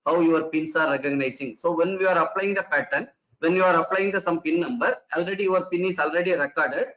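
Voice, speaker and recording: A man's voice: sits high, fairly bright tone. A man giving an online lecture to teachers. He explains things step by step, repeats and restarts his phrases, and asks rhetorical questions. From India. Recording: dull lo-fi online session audio.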